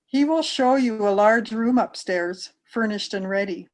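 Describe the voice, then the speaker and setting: A woman's voice: a little high, unhurried, poor audio. A woman reading a scripture narrative aloud from a text, as a church member would for a service.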